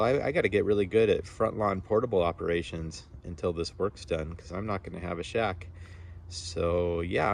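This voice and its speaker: A man's voice: low voice, creaky. A man, an amateur radio operator, chatting casually and explaining technical things in a rambling way outdoors. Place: outdoors on a front lawn.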